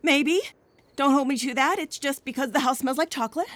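A woman's voice: high voice, a little fast, a bit bright, no echo. An actress playing a hopeful, teasing young woman in a comedic audio drama, talking in a casual, emphatic way.

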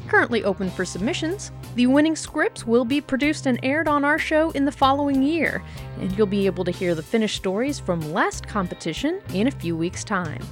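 Lively woman radio host. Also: fairly high pitch. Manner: friendly, lively announcing. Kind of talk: scripted outro.

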